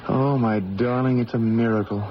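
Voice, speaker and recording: A male actor plays a devoted husband in vintage radio drama. His mid-range voice is soft-hearted and a touch hesitant. The recording is muffled with noticeable noise. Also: medium pitch, fairly even intonation, moderate pace, close to an everyday voice. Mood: tender, relieved. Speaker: actor in a radio mystery play. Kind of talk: 1970s-style radio drama acting.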